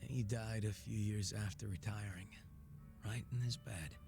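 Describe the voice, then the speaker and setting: Gravelly, sardonic ex-gang boss. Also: male voice, lowish voice, fairly even intonation, a little slow. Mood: jaded, candid. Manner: teasing, casual, profane. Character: sardonic, laid-back former male gang boss. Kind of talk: English video game cutscene acting. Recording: music under the dialogue.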